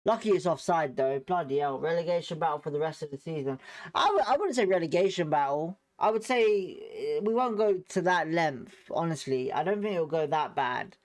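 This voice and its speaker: A man's voice: a little high, animated, fairly quick. A man with a British accent giving informal live football commentary as a fan host, blunt, slangy and sweary in his reactions.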